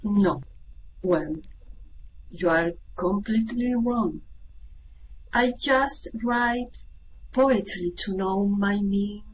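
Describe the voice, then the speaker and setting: A woman playing a callous, imperious alien spaceship captain in an amateur radio play, speaking deliberately. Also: medium pitch. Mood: scornful, threatening. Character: menacing, callous alien spaceship captain who writes poetry. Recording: noisy with background ambience.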